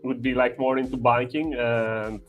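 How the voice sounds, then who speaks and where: A man's voice: medium pitch, little pitch movement, soft background music. Hesitant young man from Albania. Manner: hesitant, many "uh" pauses, slightly broken English. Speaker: guest. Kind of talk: casual chat.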